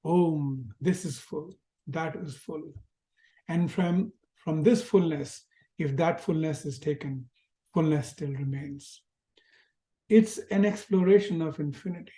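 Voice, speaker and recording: A man with an Indian accent giving a reflective spiritual lecture to an online class, asking gentle rhetorical questions. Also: fairly high pitch, slow pace. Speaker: teacher. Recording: roomy echo.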